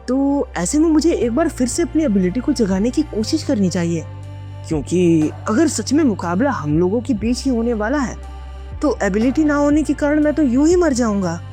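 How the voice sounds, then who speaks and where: A man's voice: very high-pitched, warm tone, pitched above the performer's own voice. A narrator playing a young male student trapped in a deadly game, anxious and frustrated, speaking emphatically in an audio drama.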